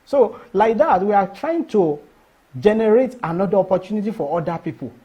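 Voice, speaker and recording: Nigerian man, middle-aged, Yoruba first language; high, mellow voice, earnest interview guest. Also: expressive, fairly quick, husky. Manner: earnest, emphatic, repeats and restarts phrases. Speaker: cultural festival organizer. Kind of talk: interview answers.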